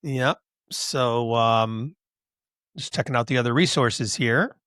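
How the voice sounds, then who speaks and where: A middle-aged man from Western New York hosting a podcast, relaxed and encouraging, with frequent fillers. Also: medium pitch, slow pace, smooth, dry recording, clean studio sound. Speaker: podcast host. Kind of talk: casual podcast interview.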